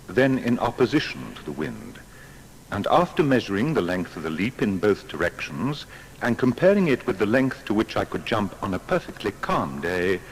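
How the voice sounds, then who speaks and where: An actor playing a scholar recalling his past in measured, precise, formal words for an audio biography. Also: lowish voice. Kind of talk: dramatised first-person recollection. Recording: long reverb, faint background noise.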